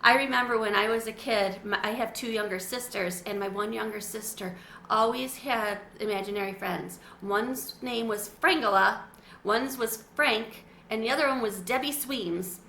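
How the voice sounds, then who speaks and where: Bright-voiced woman reading a storybook. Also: on the high side, normal speed. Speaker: children's book reader. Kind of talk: reading a children's book aloud. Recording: echoey room, faint hiss.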